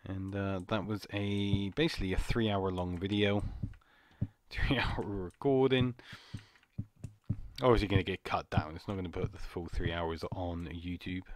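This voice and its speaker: A man with a slightly croaky voice, rambling and jumpy as he comments on a horror game. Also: sits low, steady tone, a little slow, a little hiss or hum. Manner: rambling, jumpy, muttering to himself. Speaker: gaming channel host. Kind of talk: casual horror gameplay commentary.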